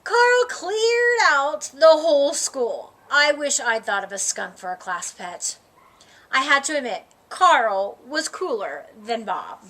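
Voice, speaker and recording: A woman storyteller with a high, bright voice reads a children's book aloud. She takes her time and gives phrases expressive, dramatic emphasis, narrating as the story's young character. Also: slow.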